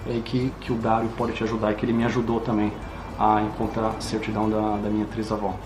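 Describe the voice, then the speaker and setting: A man's voice: fairly low pitch, completely flat delivery, fast, roomy sound, over music. A Brazilian man hosting a casual interview chat, explaining things in a friendly way. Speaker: host.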